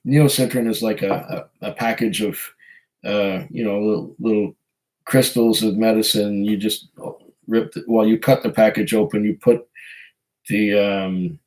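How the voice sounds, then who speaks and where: Man, hoarse, getting over a cold, easygoing live-stream chat, rambling, lots of ums. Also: lowish voice, fairly even intonation, measured pace, a little mellow, poor audio. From Canada. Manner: rambling, hesitant, chuckles often. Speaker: whiskey channel host reading live chat.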